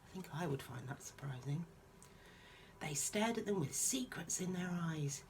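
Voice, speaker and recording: A woman reading a children's picture book aloud in a soft-spoken, musing way, with informal, chatty asides. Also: low voice, lively intonation, normal speed, slight background noise.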